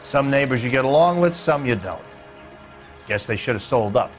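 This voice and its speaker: An evasive American suburban neighbor played by a male actor, folksy and defensive. His mid-pitched voice moves up and down a lot, in video game drama style over faint music. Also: expressive, moderate pace, natural voice. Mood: defensive, offhand.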